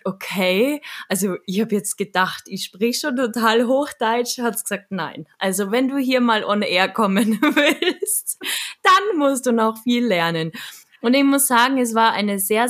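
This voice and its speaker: A woman's voice: a little high, measured pace. A woman from Munich with a Bavarian accent, a trained radio and voice speaker, chatting casually and laughing often. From Munich, Germany. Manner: lively, laughs often while telling stories. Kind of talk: casual conversation.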